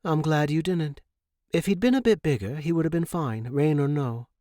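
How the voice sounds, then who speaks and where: Man voicing a brisk, bossy but caring woman, pitched up. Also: dark tone, no room sound, studio quality, lightly acted. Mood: approving.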